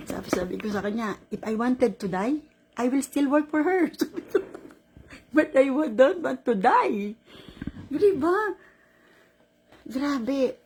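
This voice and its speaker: A Filipino woman in her sixties tells a story in a relaxed vlog, full of laughs and quick asides. Her voice sits a little high and has a husky, breathy edge. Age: 60s. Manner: animated, laughing, mixes Tagalog and English. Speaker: caregiver.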